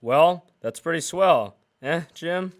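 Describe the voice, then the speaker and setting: A man's voice: a little high, expressive, slow. A man playing a mild-mannered, wholesome reporter with old-fashioned exclamations in a radio drama. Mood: amiable. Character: mild-mannered, kindly male newspaper reporter. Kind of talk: 1940s-style radio drama acting.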